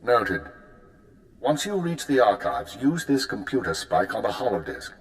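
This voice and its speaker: An actor voicing a composed male intelligence chief who speaks in a formal, measured, dry way. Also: lowish voice, big pitch swings.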